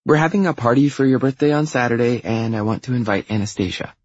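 A man's voice: medium pitch, quick pace, a little mellow, breathy edge, dry recording, highs cut off, voice pushed up, close to an everyday voice. A man voicing an exuberant, bossy young woman, a demanding little sister in an audiobook story. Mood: insistent. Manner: demanding, emphatic, dismissive.

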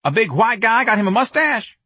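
Man putting on a brash, high, excitable caller. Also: fairly quick, slightly rough, no room sound, exaggerated voice. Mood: insistent. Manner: loud, colloquial.

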